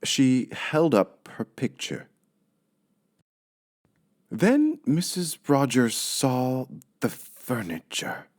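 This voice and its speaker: Slow, very animated male storybook narrator. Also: medium pitch. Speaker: audiobook narrator. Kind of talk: scripted children's story narration.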